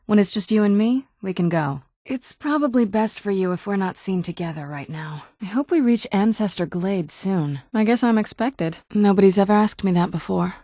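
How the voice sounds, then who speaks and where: A woman's voice: medium pitch, moderate pace, few pauses, dark tone, no echo, close to an everyday voice. A woman playing a guarded, wry young-looking vampire noblewoman in a video game, dry and understated. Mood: wry, matter-of-fact. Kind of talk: video game character dialogue.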